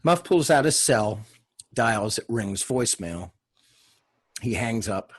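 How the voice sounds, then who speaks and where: A man with a husky voice reading scripted stage directions as the narrator of a screenplay. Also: on the low side, lively intonation, slow.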